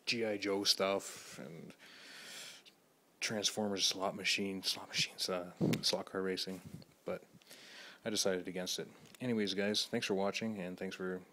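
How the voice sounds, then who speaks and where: A man with a hoarse voice talking quietly and rambling with many ums as he lists items for his vlog. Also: low-pitched, little pitch movement, a little slow, slight background noise. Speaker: video collector host. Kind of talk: casual vlog, listing items.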